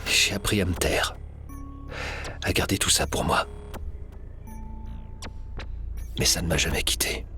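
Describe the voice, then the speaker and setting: A man's voice: low voice, bright, forceful tone, faint music behind. A man playing a serious, haunted young man who speaks hesitantly in an audio drama. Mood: hushed, afraid. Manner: hesitant, halting, repeating words. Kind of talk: French audio drama acting.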